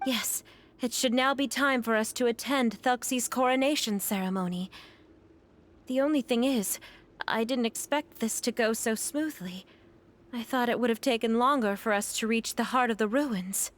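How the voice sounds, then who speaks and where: Woman acting a higher-voiced, courteous young storyteller. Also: moderate pace, lightly acted. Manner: formal, courteous phrasing. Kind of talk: video game voice acting.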